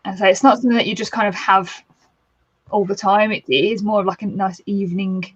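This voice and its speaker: A husky woman explains things in a friendly, easygoing way, restarting her phrases now and then. The sound is dry and close, with dull lo-fi video call quality. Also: mid-range voice, steady tone, fast talker, no echo. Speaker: reseller guest. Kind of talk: casual livestream chat.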